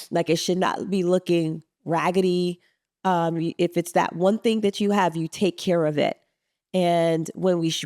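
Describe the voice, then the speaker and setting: Woman, from Suriname, gravelly voice, dry close sound, affirming podcast co-host. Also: lowish voice, darkish tone, dry recording. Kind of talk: casual chat.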